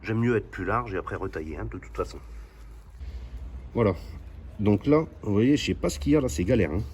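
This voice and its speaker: Low-voiced man, casual demo, noisy recording. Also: expressive, a little fast, darkish tone. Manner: chatty, informal, thinking aloud. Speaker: hobbyist host of radio DIY videos. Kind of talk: casual hands-on demonstration.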